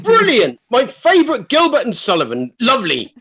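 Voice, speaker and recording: A man's voice: very high-pitched, huge pitch swings, normal speed, fairly bright tone, slightly rough, full character voice. An exuberant, beer-loving village singer played by a man with a voice that swoops widely. He is hearty and encouraging, organising his friends in a radio comedy. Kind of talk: radio comedy drama acting.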